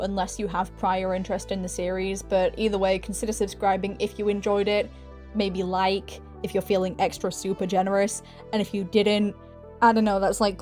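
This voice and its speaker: A woman's voice: medium pitch, darkish tone. A woman narrating a scripted video essay as its host, with casual, self-deprecating asides in an informal, chatty way.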